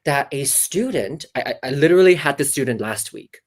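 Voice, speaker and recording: Very low-voiced, lively woman voice coach. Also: brisk. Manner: animated, demonstrative, many fillers and restarts. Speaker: voice coach guest. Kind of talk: casual interview, teaching.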